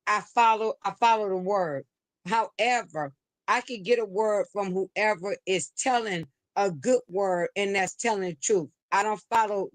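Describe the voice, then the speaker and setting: A woman's voice: medium pitch, no room sound. A woman calling into a livestream, speaking hesitantly with many restarts and fillers. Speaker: livestream caller. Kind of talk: call-in commentary. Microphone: remote call into a streaming studio.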